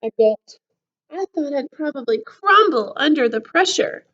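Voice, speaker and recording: High-voiced woman reading to children, unhurried. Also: dull audio. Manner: animated, acting out the story. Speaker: school counselor reading a picture book aloud. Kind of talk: expressive children's story read-aloud.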